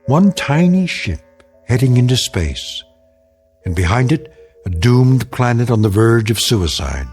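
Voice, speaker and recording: A solemn male narrator delivers eerie science fiction narration. His medium-pitched voice rises and falls expressively over faint music. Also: big pitch swings, a little slow, a little mellow. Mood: ominous. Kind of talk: scripted dramatic narration.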